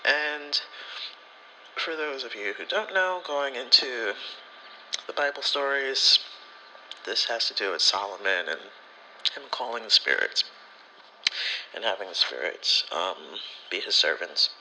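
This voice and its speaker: A man's voice: medium pitch, expressive, unhurried, pauses often, pushed and bright, slight background noise, poor audio. A man in a casual ASMR storytelling style, rambling and slangy, with profanity and acted-out bits of dialogue. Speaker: spiritual content creator.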